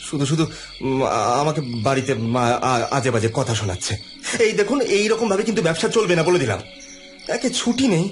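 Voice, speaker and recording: An actor playing an irritable, indignant male office worker in an audio drama, complaining and argumentative, sometimes stammering. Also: high voice. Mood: flustered, aggrieved.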